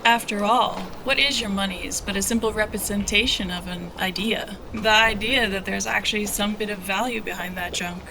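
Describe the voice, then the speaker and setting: A woman's voice: medium pitch, fairly even intonation, normal speed, creaky, hardly any pauses, noisy background, close to an everyday voice. A woman playing a brash, sly smuggler and streetwise guide in an audio drama, talking in slangy, taunting, irreverent lines. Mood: knowing.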